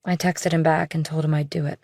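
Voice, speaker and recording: Woman acting a evasive young woman, low gravelly fry, casual, defensive, audiobook thriller dialogue. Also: level tone, fairly quick, darkish tone, dry recording, clean studio sound. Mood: evasive, offhand.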